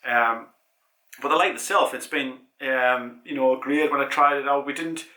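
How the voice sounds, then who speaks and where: A man's voice: medium pitch, moderate pace, sharp tone, reverberant. A British man with a head cold hosting a casual cycling product review, rambling with many ums and restarts. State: congested with a cold. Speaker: cycling channel host.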